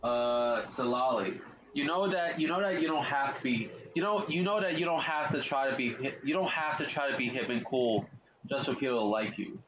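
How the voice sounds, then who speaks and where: Gaming streamer, man, deep voice, loose rambling commentary with a faint hiss. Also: moderate pace, fairly bright tone, reverberant, highs cut off. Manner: rambling, repeats himself, slangy. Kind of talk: casual let's-play commentary.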